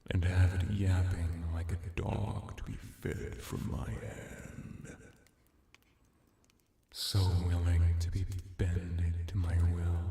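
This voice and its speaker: Casting: male actor as domineering vampire hypnotist for roleplay ASMR, dark mellow voice, hushed and teasing, drenched in echo. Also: deep voice, exaggerated voice. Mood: possessive, seductive. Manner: slow, hushed, often dropping into whispers; teasing, commanding, condescending. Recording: very echoey, distant-sounding voice.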